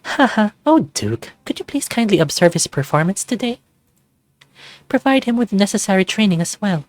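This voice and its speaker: Man putting on a high, coy lady boss. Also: very animated, moderate pace, dry recording. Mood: sweetly polite. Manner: coy, sugary, teasing. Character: flirty, teasing female furry lab boss. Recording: music playing behind close dry voice.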